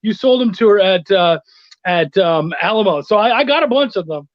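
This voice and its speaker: A man with a notably high-pitched voice chats casually as a guest. He speaks in an informal, slangy way. The recording is dry and somewhat dull, like lo-fi call audio. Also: lively intonation, normal speed, no room sound. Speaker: guest, comics writer and editor. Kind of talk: casual video chat.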